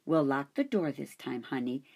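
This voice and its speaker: A woman voicing a calm mother who speaks low and quietly reassuring in a storybook reading. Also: moderate pace, creaky, full character voice. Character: calm, firm mother of a girl.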